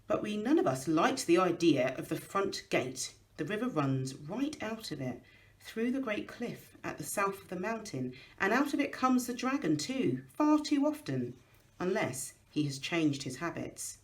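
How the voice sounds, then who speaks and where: Storybook dwarf chief, male, acted by a woman: proud, gruff, sceptical, pompous, with lively intonation. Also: medium pitch, a little slow, voice pushed up. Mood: grave.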